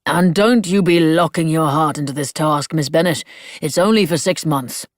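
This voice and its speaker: A woman's voice: sits low, normal speed, dry recording, clean studio sound, natural voice. A woman voicing a grumpy old male shop owner who speaks in a bored drawl. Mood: grumbling.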